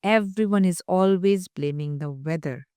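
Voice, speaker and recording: A woman voicing a put-upon, huffy dark rain cloud in a children's story, grumbling. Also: on the low side, normal speed, smooth, dry recording, close to an everyday voice.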